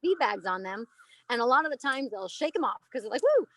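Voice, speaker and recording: A woman's voice: fairly high pitch, a little fast, dry recording, rough recording. A woman in her thirties, an elephant trainer, talking casually and emphatically in a podcast interview. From United States. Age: 30s. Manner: animated, emphatic storytelling. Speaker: elephant trainer and aerialist guest.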